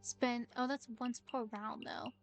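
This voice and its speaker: A woman's voice: on the high side, steady tone, unhurried. A woman game streamer chatting casually as she thinks aloud through her moves and reacts with exclamations. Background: music.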